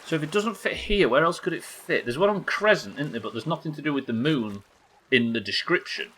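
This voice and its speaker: A man's voice: a little high, lively intonation, a little fast, fairly bright tone, a little hiss or hum. A man doing casual livestream game commentary, thinking aloud, questioning himself and swearing now and then. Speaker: live game streamer.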